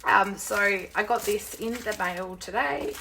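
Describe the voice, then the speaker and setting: A young woman talks through a home craft live stream, her voice croaky and gravelly from a sore throat. Her pitch sits in a middling range, and her chat is loose, rambling and apologetic. A faint hum of background noise sits behind her. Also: measured pace, bright, forceful tone, rough recording. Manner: chatty and rambling, greets viewers by name, apologetic.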